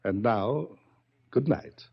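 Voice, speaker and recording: An actor playing a wealthy, smugly menacing yacht owner in a 1940s radio drama, taunting with theatrical pauses and drawn-out words. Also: male voice, medium pitch, lively intonation, vocal fry, husky, full character voice. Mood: commanding, menacing. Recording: muffled old-time radio recording.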